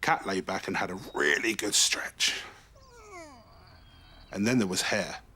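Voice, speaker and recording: A man reading a children's bedtime story in an expressive, animated way, stretching key words for emphasis. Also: sits low, measured pace, husky.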